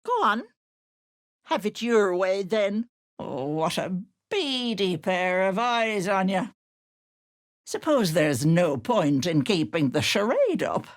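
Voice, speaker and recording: A woman's voice: medium pitch, big pitch swings, slow. A woman playing a sly, menacing older witch in a video game, theatrical, taunting and mock-affectionate.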